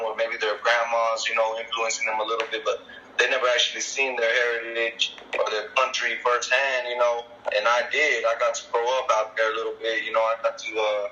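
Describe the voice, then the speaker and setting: Podcast guest, man, very bright voice, muffled call audio, laid-back slangy talk. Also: mid-range voice. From El Paso, Texas. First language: Spanish. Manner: laid-back, heavy use of slang and fillers. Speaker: guest artist. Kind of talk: casual podcast interview. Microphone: video call.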